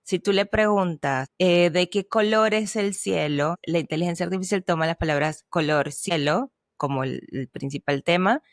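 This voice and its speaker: A woman co-hosting a podcast, casually explaining things with a Spanish accent mixed with Argentine, pausing and restarting as she talks. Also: lowish voice, dry recording, studio quality. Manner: hesitant, restarts and fillers while explaining. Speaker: podcast co-host, language teacher. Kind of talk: casual conversational chat.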